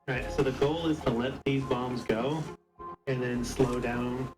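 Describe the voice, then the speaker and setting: A man's voice: medium pitch, steady tone, unhurried, music in the background, poor audio. A man playing a tabletop game, talking casually about his next move.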